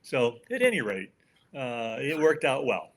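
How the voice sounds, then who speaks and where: A man's voice: medium pitch, big pitch swings, a little slow, fairly bright tone. An older man with an American accent, giving folksy, opinionated answers as a guest in a conversational interview. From United States. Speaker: podcast guest, author.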